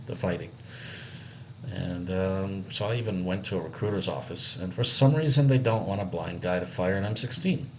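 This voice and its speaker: Man from Israel, very low voice, loose meandering storyteller, faint room ambience behind him. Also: background noise. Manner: relaxed and meandering, many fillers and restarts. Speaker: accessibility analyst. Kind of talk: casual personal story.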